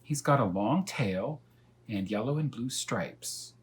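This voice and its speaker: A man's voice: medium pitch, animated, takes it slowly. A man voicing a talkative female cat who tells her tale earnestly and without hurry in a children's storybook. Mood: fond, proud. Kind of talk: children's storybook read-aloud.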